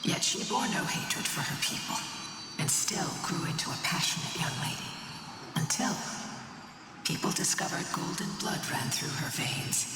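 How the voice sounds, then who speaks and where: A woman voicing an ancient, solemn winged guardian beast in a game, wistful and breathy, telling things gravely. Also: on the low side, close to an everyday voice. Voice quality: breathy, whispery. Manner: slow, solemn storytelling. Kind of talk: scripted game voice acting. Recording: long reverb, distant, music behind.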